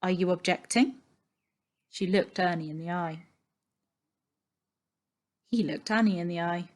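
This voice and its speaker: Slow-paced woman reading a children's story. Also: lowish voice, a little mellow. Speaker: story reader. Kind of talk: expressive children's story narration.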